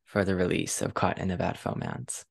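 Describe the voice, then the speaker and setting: A woman's voice: extremely low pitch, expressive, normal speed, vocal fry, breathy edge. A woman hosting a casual podcast interview, asking questions in an informal conversational way.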